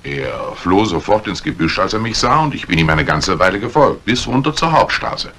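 An actor playing a stern, suspicious police inspector in a 1950s German radio crime drama, probing and sardonic. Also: male voice, on the low side, big pitch swings, moderate pace, pushed and bright, full character voice. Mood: grave, regretful. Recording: old radio play recording with faint hiss.

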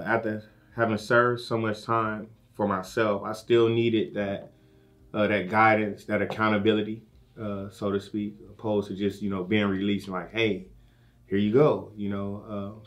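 A man gives a reflective documentary interview, pausing as he searches for words. His voice sits a bit low. Faint music plays behind him.

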